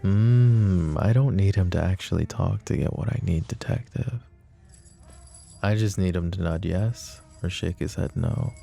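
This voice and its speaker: A man playing a possessive mafia boss from an audio drama, with a very deep, gravelly voice and a dark tone. He taunts softly and slowly, wrapping threats in pet names, over faint music. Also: heavily acted. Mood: menacing. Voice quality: soft, smooth and taunting. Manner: mocking, slow and threatening, with sweet pet names. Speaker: voice actor. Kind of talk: roleplay ASMR audio drama.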